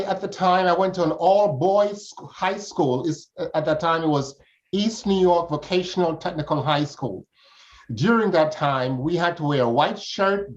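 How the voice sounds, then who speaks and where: An older man from Jamaica, answering as an interview guest in formal, flowery phrasing. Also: high-pitched. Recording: dull, lo-fi audio.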